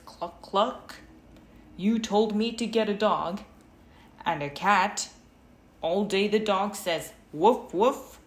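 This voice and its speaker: A woman voicing an exasperated, angry storybook man who complains emphatically in a sing-song list. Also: fairly low pitch. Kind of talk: storybook read-aloud character. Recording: roomy, noticeable background noise.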